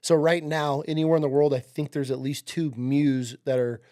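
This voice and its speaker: Male guest, late thirties, from upstate New York; relaxed, profane storyteller whose voice sits slightly high. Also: normal speed. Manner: laid-back, profane, says "dude" and "like" a lot. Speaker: podcast guest, Marine veteran. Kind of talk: casual interview storytelling.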